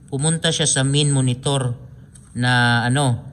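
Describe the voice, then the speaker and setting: Casting: Filipino man, mid-pitched, informal how-to presenter, repetitive step-by-step delivery, some noise behind him. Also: steady tone, a little slow, a bit bright. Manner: repetitive, step-by-step explaining with frequent pointing phrases. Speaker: DIY electronics tutorial host. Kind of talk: informal hands-on wiring demonstration.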